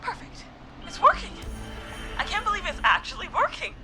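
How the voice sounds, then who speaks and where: A woman's voice: extremely high pitch, big pitch swings, normal speed, pushed and bright, faint music behind, heavily acted. An actress playing a desperate young woman leading a getaway plan in a video game, with noise behind her voice. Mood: urgent commanding.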